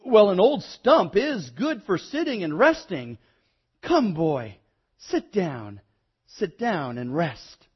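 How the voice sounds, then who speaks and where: A man's voice: a little high, big pitch swings, unhurried, slightly rough, lo-fi sound, heavily acted. A man voicing a loving, generous female tree, eager and motherly, in a children's storybook read-aloud. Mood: sorry, tender.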